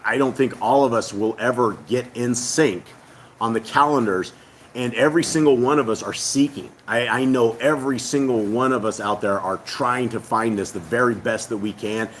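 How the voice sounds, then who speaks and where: A man hosting a family faith channel who speaks to viewers in a casual, earnest, rambling way, his voice hoarse and partly lost. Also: mid-range voice, a little hiss or hum. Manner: earnest, rambling, with many hesitations. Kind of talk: casual spoken address to viewers.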